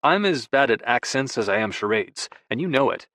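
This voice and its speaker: Audiobook role: devoted young husband, quiet, tender, lightly playful, mid-pitched, read by a male narrator, dry close recording. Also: sing-song, fairly quick, no room sound. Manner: quiet, intimate, affectionate. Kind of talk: audiobook dialogue.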